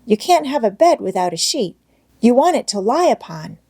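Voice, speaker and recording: An eager little girl from a storybook, acted out by a woman narrator. The voice lifts high and lilting, innocent and childlike, with cheerful, plain-spoken delight. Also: sing-song, moderate pace, warm tone, husky, full character voice. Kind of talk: storybook read-aloud character voice.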